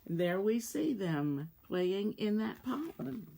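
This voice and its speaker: A woman reading a children's storybook aloud as an expressive narrator. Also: medium pitch, takes it slowly, creaky, background noise. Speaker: storybook reader.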